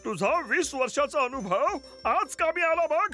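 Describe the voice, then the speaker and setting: Bossy fat cartoon sidekick, very high squeaky voice, grumbles and exclaims over a clear music bed. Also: male voice, very animated, a little slow, exaggerated voice. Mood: bossy, eager. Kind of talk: comedy cartoon dub. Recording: loud music behind the voice.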